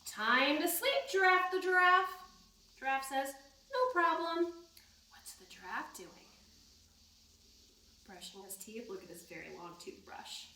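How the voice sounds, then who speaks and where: A woman's voice: sits high, animated, measured pace, long pauses, pushed and bright, a little hiss or hum. A woman leading a storytime for young children, reading aloud and talking in a sing-song way with simple prompts. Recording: echoey room, distant microphone.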